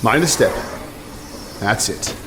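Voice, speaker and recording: An actor playing a calm, clever detective with a British accent, brisk, dry and assured. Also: male voice, on the low side, over music.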